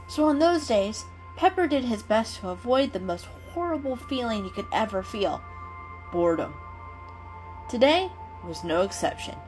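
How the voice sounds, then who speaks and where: A woman reads a children's story as a dramatic storyteller. Her voice is fairly high and very expressive, swinging up and down over faint music. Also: low-quality recording. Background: soft music.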